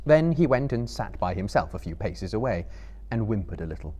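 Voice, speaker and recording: A man's voice: fairly low pitch, sing-song, a little fast, mellow, slight background noise. A man in his thirties giving a dramatic literary reading as a storyteller to a live audience. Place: book-lined room before a small live audience.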